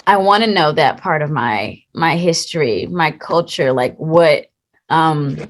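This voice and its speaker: A woman in her late twenties from Texas, an actor being interviewed, talking in a chatty, lively way and laughing often. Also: low voice, unhurried. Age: late 20s. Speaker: actor guest. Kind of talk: casual interview chat.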